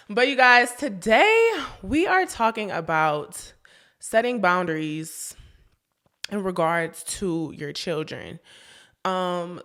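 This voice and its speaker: A woman's voice: lowish voice, a bit bright. A young woman hosting her own podcast in a chatty, casual way, often laughing and talking straight to her listeners while sniffling from a cold. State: sniffly, getting over a cold. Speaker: podcast host. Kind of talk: casual chat.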